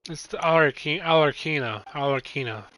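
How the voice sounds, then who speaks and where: A man's voice: on the high side, brisk, fairly bright tone, no echo, low-quality recording. A man giving casual commentary as he streams a video game.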